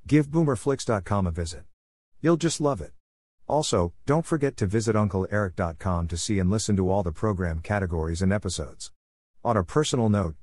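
A man's voice: low-pitched, darkish tone, dry recording. A man reading a friendly, cheerful show introduction as the host. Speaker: podcast host.

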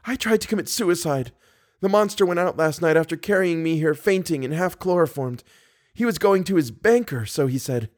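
A man's voice: on the high side, animated, moderate pace, pitched above the performer's own voice, natural voice. A man voicing a frightened captive young woman in an audiobook, breathless, urgent and pleading. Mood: anguished.

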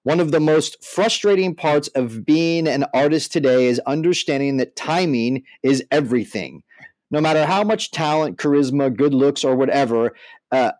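A man's voice: medium pitch, darkish tone, no echo, poor audio. A man co-hosting a podcast, moving between reading aloud and casual commentary. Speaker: podcast co-host.

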